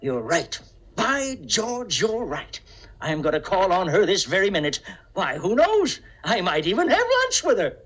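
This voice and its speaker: A timid older bachelor in a 1950s radio play. He is mild and wistful, hesitating often, with a very animated pitch. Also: unhurried, exaggerated voice. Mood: resolved, excited. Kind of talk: 1950s radio drama acting.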